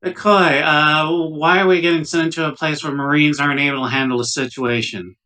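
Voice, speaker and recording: A man's voice: a little high, fairly even intonation, moderate pace, pushed and bright. A man playing an uneasy, wry civilian scientist in a tabletop roleplaying game, speaking in a hesitant, wordy, sardonic way. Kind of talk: tabletop roleplaying, improvised dialogue. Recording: distant mic, poor laptop recording.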